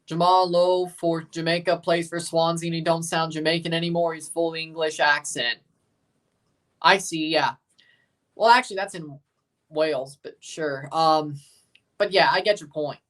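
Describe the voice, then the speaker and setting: A man's voice: on the high side, steady tone, normal speed, a bit bright, recorded from a distance. A man from Mississippi with a Southern accent who hosts a livestream and chats casually with viewers in a laid-back, rambling way. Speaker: livestream host reacting to a match. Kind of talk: casual livestream chat, answering viewer comments.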